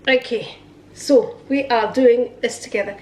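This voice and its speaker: A woman's voice: fairly high pitch, steady tone, unhurried, darkish tone. Chatty woman in her sixties, echoey kitchen. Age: 60s. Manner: chatty and cheerful, rambling. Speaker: vlogger. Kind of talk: casual chat to camera. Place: home kitchen.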